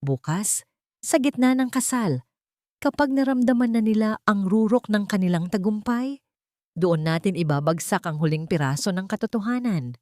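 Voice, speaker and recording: A woman's voice: medium pitch, animated, normal speed, a little mellow, no room sound, studio quality, pitched above the performer's own voice, close to an everyday voice. A woman voicing a brooding, devoted man in love in an earnest, solemn storybook drama manner. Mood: grave, secretive.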